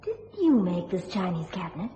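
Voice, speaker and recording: An actress playing a poised, trusting young magician's assistant in a radio thriller, polite and self-assured. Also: female voice, on the low side, big pitch swings, fairly quick, dark tone. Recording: muffled radio recording, faint hiss.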